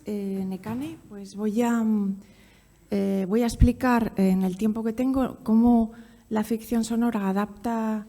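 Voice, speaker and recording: Halting woman lecturer with dark creaky voice. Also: mid-range voice. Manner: halting, searching for words. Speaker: university professor, conference speaker. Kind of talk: academic presentation, loosely scripted.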